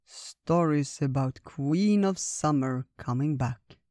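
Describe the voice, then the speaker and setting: A wise old bear from a storybook , as a man puts him on, gentle and unhurried. He speaks slowly and warmly, like a grandfather telling tales. The recording is dry and close. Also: on the high side, dry recording. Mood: kindly. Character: kindly wise old male bear, slow-spoken.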